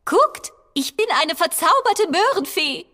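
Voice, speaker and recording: A woman's voice: very high-pitched, big pitch swings, measured pace, full character voice. A woman playing a sweet, sprightly carrot fairy with sing-song stage delivery in a children's audio play. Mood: sweet, theatrical. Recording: echoey hall, loud music behind.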